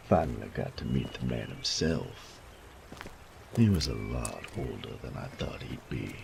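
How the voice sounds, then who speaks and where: Man playing a very deep, gravelly sadistic bandit. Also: sing-song, takes it slowly, long pauses, noisy background, heavily acted. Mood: amused, scornful. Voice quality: gravelly, creaky low voice. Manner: drawling, taunting, coarse slangy speech. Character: sadistic bandit rogue, menacing, teasing, mocking, flirtatious. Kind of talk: roleplay audio drama acting.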